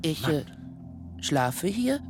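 A man playing a creepy, jumpy circus clown in a radio play, with an odd, halting manner. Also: a little high, big pitch swings, darkish tone, a little hiss or hum, full character voice. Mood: nervous, evasive.

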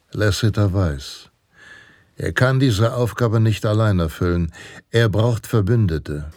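An actor playing a solemn western storyteller, narrating in a deliberate, portentous manner. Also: male voice, on the low side, a little mellow. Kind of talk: dramatic scripted western narration. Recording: guitar music behind. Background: plucked guitar music.

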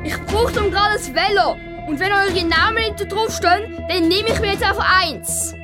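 Stubborn, indignant little boy played by a woman, very high childlike voice, children's audio drama with faint music. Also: normal speed. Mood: defiant. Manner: defiant, childlike. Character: indignant, stubborn young schoolboy hero Bruno. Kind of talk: acted children's audio story.

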